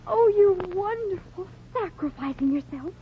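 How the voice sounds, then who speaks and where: Very high-pitched gushing teenage fangirl. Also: expressive, normal speed. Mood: swooning, adoring. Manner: breathless swooning, melodramatic outbursts. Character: gushing, tearful starstruck teenage girl fan. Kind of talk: 1940s radio comedy acting. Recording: dull, noisy old radio recording.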